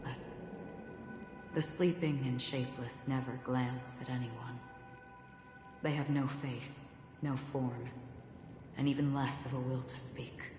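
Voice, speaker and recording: An actress playing a solemn, enigmatic wandering woman warrior in a video game, speaking in a measured, stoic way. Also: extremely low pitch, fairly even intonation. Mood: solemn, detached. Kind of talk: scripted video game acting. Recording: echoey, with loud music and rain behind.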